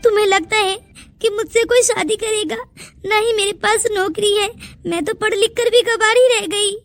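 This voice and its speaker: A woman's voice: extremely high pitch, fairly even intonation, normal speed. A woman voicing a poor, plaintive young village woman in an emotional cartoon moral story. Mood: plaintive, resigned. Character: poor, tearful but willing young village woman, Payal. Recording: music behind the dialogue.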